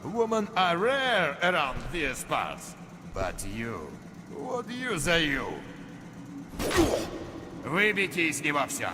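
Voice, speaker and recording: An actor playing a cold, commanding Soviet colonel with a Russian accent and a mockingly polite, menacing manner in a video game. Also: male voice, on the high side, huge pitch swings, slow, long pauses, background noise, heavily acted. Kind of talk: video game cutscene acting. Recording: long hall reverb, music behind.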